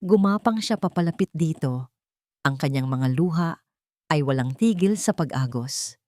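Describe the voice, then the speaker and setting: A woman with a Filipino accent reads a scripted romance story in an emotive, deliberate, dramatic way. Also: sits low, expressive, darkish tone, no room sound, clean studio sound. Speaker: story narrator.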